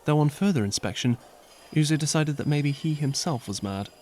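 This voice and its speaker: A man narrating an audio drama in an expressive, lively storytelling style. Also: on the high side, normal speed.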